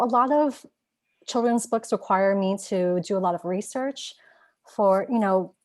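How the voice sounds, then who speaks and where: A woman's voice: medium pitch, dry recording. A woman illustrator talking casually about her creative process, with plenty of "um" and "you know". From Taiwan. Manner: chatty, lots of fillers. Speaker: picture book illustrator. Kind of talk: casual chat. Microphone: video call.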